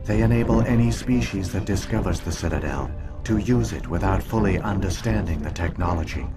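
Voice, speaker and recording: Game role: calm, grave computer guardian of a lost alien race. Very deep, formal delivery over prominent music. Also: male voice. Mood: solemn, calm. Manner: measured, formal, grave exposition. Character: ancient damaged alien computer program, calm, solemn guardian. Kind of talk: scripted video game dialogue.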